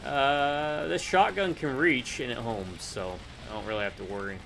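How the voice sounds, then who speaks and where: A man's voice: medium pitch, steady tone, moderate pace, gravelly, a bit bright, a little hiss or hum. A man co-hosting a gaming video, chatting casually and thinking aloud in an offhand, hesitant way. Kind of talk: casual co-op gameplay chat.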